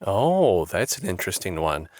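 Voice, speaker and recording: A male interview host with a lowish voice, upbeat and effusive as he leads the conversation. His voice sounds close and dry, with no room around it. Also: sing-song, moderate pace, a little mellow, no echo. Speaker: podcast host. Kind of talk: interview talk show.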